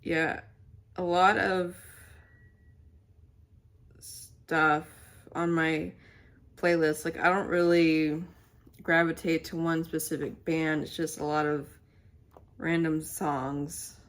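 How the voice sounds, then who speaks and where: Low-voiced, stuffy-nosed woman vlogging. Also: flat delivery. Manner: rambling and halting, many fillers. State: stuffy, nasal. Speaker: channel host. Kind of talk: casual to-camera vlog chat. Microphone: camera mic.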